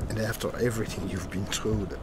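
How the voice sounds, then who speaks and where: An actor playing a proud, emotional middle-aged Irish father in a radio drama, earnest, tender and halting, with car noise around him. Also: lowish voice, steady tone, close to an everyday voice. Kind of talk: naturalistic radio drama dialogue. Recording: loud car interior noise.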